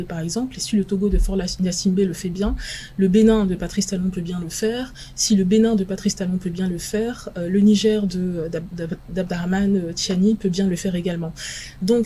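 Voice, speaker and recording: A woman expert guest on a televised panel debate, from France, answering in a measured, analytical way with frequent halting pauses. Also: medium pitch, mellow, slightly rough. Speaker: guest expert in international relations.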